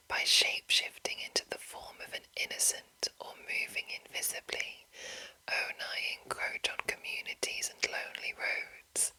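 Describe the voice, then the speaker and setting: A woman whispering in a breathy, hushed and deliberate way, reading aloud in an ASMR style. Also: poor audio. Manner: slow, hushed, deliberate phrasing. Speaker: host of a whispered ASMR channel. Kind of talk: whispered ASMR reading of a game manual with casual asides.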